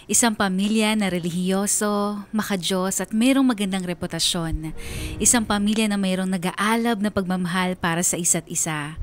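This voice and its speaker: A woman with a Filipino accent narrating a scripted radio drama in a storytelling style. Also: medium pitch.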